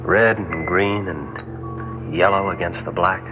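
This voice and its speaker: An actor playing an eager, wonderstruck young space explorer in old-time radio drama style, excitable and dreamy. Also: male voice, low-pitched, slow. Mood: awed. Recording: dull old radio, music behind.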